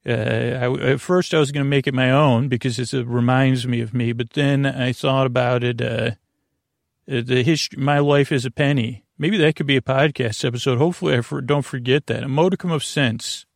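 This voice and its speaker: A man hosting a sleep podcast in a long-winded, halting monologue that keeps drifting off topic, with a gravelly, creaky voice. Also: medium pitch, steady tone, no room sound, clean studio sound. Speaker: podcast host.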